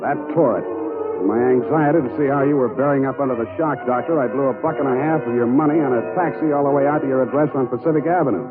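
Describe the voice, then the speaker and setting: An actor playing a wry, hard-boiled American private detective in 1940s radio drama, terse and deadpan. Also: male voice, mid-range voice, quick pace, narrow, tinny audio, close to an everyday voice. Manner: terse, deadpan, world-weary. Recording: band-limited old radio recording with music.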